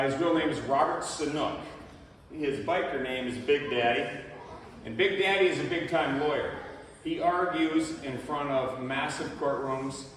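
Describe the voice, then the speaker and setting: A man's voice: mid-range voice, a bit bright, slight background noise. A man casually introducing fellow bikers at a school presentation, telling folksy stories. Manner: folksy, storytelling. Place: school hall. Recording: echoey hall from far off.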